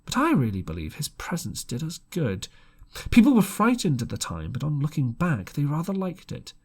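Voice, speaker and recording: A man narrating a classic adventure audiobook in an expressive, dramatic storytelling style, now and then stumbling over a word. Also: fairly high pitch, normal speed, husky.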